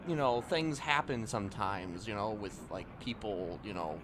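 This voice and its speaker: A man playing a hesitant, evasive middle-aged tour guide in an online role-play game, halting and trailing off as he rambles. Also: on the low side, crowd noise, close to an everyday voice. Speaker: livestreaming role-play gamer. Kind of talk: improvised in-character game chat. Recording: game audio with music behind. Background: music and other players' voices.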